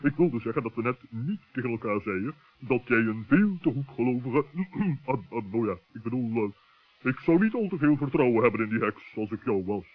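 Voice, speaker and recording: A man's voice: medium pitch, lively intonation, a little fast, close to an everyday voice. A man playing a pompous, wary owl with wordy phrasing in a children's radio play. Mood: cautious. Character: pompous, wary male owl, friend of gnome. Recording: muffled old narrow-band radio recording.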